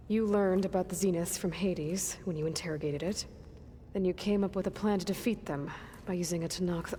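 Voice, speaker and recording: A guarded young heroine voiced by an actress in a video game, with a lowish voice for a woman. She is headstrong and skeptical, questioning bluntly with a wry edge. Faint music sits behind her. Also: warm tone, close to an everyday voice. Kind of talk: video game dialogue acting.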